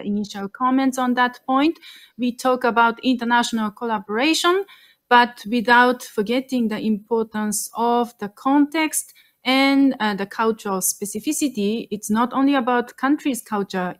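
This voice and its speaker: Female panel moderator, unhurried and slightly high, measured delivery over a video call. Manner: measured, summarizing and handing over questions.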